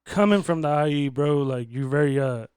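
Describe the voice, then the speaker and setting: A man's voice: fairly high pitch, normal speed, darkish tone, clean tone, no echo, clean studio sound. A man, hosting a casual podcast interview in a slangy, hyped way with plenty of swearing. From Inland Empire, California, United States. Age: 20s. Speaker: podcast host.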